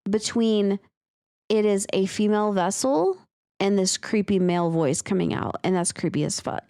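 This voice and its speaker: A woman talks casually as a podcast co-host discussing fiction. Her voice sits at a middle pitch. She is candid and swears freely, in a dry recording with little room sound. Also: normal speed, dark tone, clear, resonant tone, no echo.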